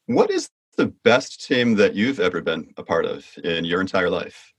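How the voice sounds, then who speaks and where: A man's voice: low-pitched, huge pitch swings, moderate pace, slightly rough, no room sound. A man hosting an interview podcast, welcoming listeners and asking probing, inviting questions. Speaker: podcast host.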